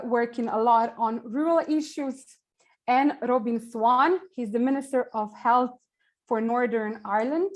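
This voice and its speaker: A woman's voice: on the high side, poor audio. A woman in her thirties with a Slovenian accent, introducing panelists on a video call with frequent hesitations. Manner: hesitant, many ums, searches for words. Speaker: event organizer introducing panelists. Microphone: earphone headset mic on a video call.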